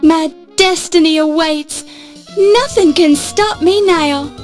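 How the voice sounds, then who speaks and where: Eager young woman, high-pitched, slow-paced, polite, plucky, game dialogue over music. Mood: hopeful. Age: young adult. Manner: earnest, polite, determined. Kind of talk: scripted adventure game acting. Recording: music under the voice.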